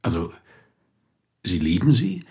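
An actor playing a sceptical police inspector in a radio play, questioning drily and insistently. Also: male voice, low voice, very animated, slow, warm tone, exaggerated voice. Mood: probing. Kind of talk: naturalistic German radio play acting.

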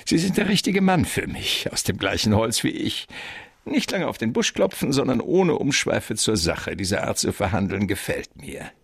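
A jovial, shrewd crime boss of great girth in a radio play. His low voice is gravelly and swings widely in pitch as he holds forth in pompous, patronizing style. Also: very animated, normal speed, no echo, clean studio sound, exaggerated voice. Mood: pleased, probing. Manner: pompous, wordy, chuckling, patronizing. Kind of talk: radio drama acting.

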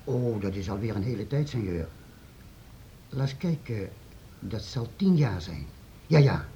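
An actor playing a timid, deferential castle servant in a period radio play, hesitant, evasive and stammering. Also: male voice, on the low side, animated, a little slow, warm tone. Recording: dull, noisy old radio recording.

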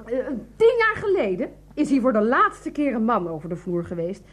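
An actress playing a sarcastic, exasperated Dutch wife who is quick to rage, in a radio drama. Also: female voice, a little high, huge pitch swings. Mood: exasperated sarcasm.

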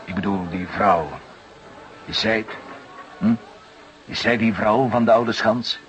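An actor playing a familiar, loyal but wary barkeeper in a radio drama, talking in a hesitant, evasive way. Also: male voice, on the low side, slow. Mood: uneasy. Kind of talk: Dutch radio drama acting. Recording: noisy dated radio play recording.

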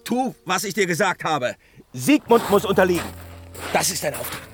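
A man's voice: high voice, sing-song, normal speed. An actor playing a weary, tormented, commanding father god in a fantasy radio drama, solemn and brooding, slipping into whispers. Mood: stern, commanding. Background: dramatic music.

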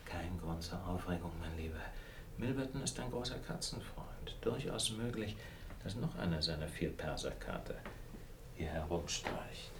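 Cool wry detective guy, really deep voice, unruffled, radio play, noisy track. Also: animated, moderate pace. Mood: hushed, wry. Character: cool, wry, self-assured male detective on a burglary. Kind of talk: radio drama acting.

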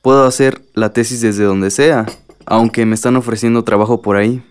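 Man as a polite young student, mid-pitched. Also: fairly even intonation, normal speed, smooth, close to an everyday voice. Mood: friendly. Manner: polite, conversational. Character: easygoing, courteous young male graduate student. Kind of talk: radio drama acting.

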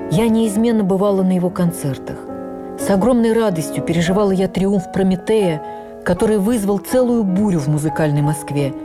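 A woman playing an actress who reverently recalls her life, in an expressive, measured dramatic narration for radio. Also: mid-range voice, natural voice. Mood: reflective, sorrowful. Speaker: actress reading memoirs on radio. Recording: radio broadcast with faint music.

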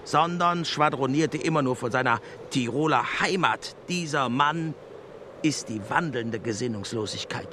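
A man's voice: on the high side, natural voice. Man acting a menacing Nazi minister, noisy outdoors. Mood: indignant. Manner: cutting, declamatory, threatening. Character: menacing, scornful Nazi propaganda minister dictating his verdict. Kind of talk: German radio play acting.

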